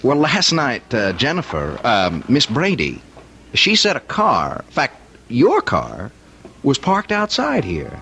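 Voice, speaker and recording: A man's voice: medium pitch, wildly swooping pitch, slow, creaky, pushed and bright, slightly rough, heavily acted. An actor in an old-time radio drama playing a bumbling but slyly nosy young policeman who stammers, rambles and apologizes in a folksy way. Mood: sheepish, sly. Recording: dull, noisy vintage radio recording.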